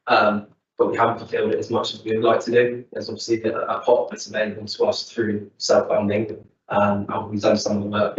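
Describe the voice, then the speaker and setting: Casting note: low, steady man at a council meeting, matter-of-fact, heard from off the mic in a dull, echoey room. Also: far from the mic. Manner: plain, matter-of-fact, with many ums. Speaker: sports club representative. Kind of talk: answering questions at a council meeting. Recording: dull, roomy sound from off-mic.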